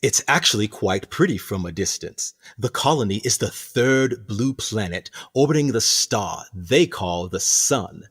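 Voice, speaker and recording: A man plays a smug ship captain in a radio play, low and husky. His delivery swings widely and theatrically, deliberate and mock-courteous, with menace under the politeness. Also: expressive, a bit bright, exaggerated voice. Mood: courteous, commanding. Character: sinister male ship captain, smug, menacing warden of punishment.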